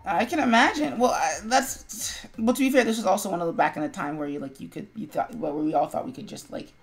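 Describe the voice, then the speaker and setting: A woman co-hosting a live stream in casual chat, expressive and quick to react with interjections. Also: on the low side, faint music behind. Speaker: stream co-host.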